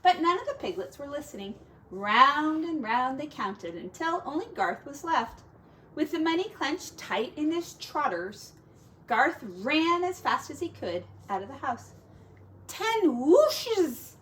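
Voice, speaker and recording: Lady teacher reading a kids story, slow n expressive, high voice, bit of noise behind. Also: rough recording. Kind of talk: expressive children's storybook narration.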